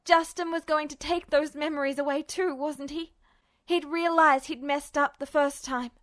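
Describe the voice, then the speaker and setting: Female narrator for dramatic fiction, high-pitched voice, intense and expressive delivery. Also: normal speed. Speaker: podfic narrator.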